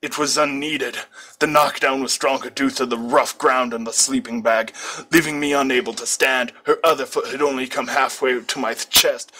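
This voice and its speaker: Bright-voiced man reading a story aloud. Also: medium pitch, low-quality recording. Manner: stumbles over words and corrects himself. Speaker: amateur story reader. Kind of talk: reading fan fiction aloud.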